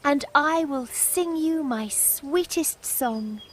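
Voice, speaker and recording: A woman's voice: sits high, slow pace, slight background noise. A woman voicing a romantic, devoted little nightingale in a storybook, earnest, wistful and pleading.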